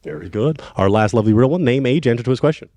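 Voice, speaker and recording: An animated man hosting a dating game show, quick and upbeat as he steers the contestants. His pitch jumps around a lot, and he talks fast. The sound is dry and close. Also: no room sound. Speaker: host.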